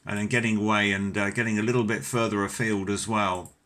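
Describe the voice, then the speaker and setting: Bright-voiced British man on ham radio. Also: on the low side, little pitch movement, a little fast. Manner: courteous, chatty. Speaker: amateur radio operator. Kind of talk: casual ham radio chat.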